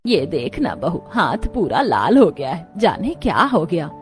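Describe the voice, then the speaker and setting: A woman's voice: low voice, very animated, measured pace, soft background music. A woman voicing an older Indian mother-in-law in a Hindi cartoon family story. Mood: complaining.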